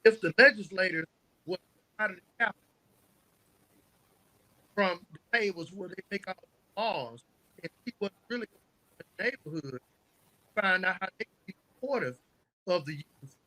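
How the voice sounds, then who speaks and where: An American man with a high-pitched voice speaks as a guest on a podcast. He answers conversationally and earnestly, stacking his points one after another. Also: expressive, moderate pace, long pauses, pushed and bright, rough recording. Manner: earnest, building points in lists. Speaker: podcast guest, youth mentor and nonprofit founder.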